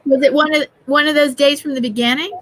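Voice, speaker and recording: Woman stream host, high-pitched and chatty, reads viewer comments aloud. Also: steady tone, fast talker. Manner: chatty, greets viewers by name. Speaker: host of live art stream. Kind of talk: casual chat, reading out viewer comments.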